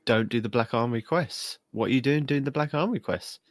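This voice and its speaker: Man, podcast co-host, teasing casual banter, medium pitch, very dry recording. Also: fairly quick, no echo.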